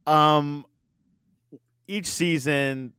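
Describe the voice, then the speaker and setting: A man's voice: a little high, steady tone, extremely slow, pushed and bright, clear, resonant tone, no echo, studio quality. A man interviewing a podcaster, asking short questions in a casual, enthusiastic way.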